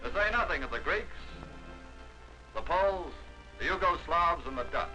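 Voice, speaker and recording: A man narrating a 1940s war documentary with a fairly high voice and a measured, declamatory newsreel delivery. A full film score plays clearly beneath him. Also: lively intonation, sharp tone, soft background music, poor audio.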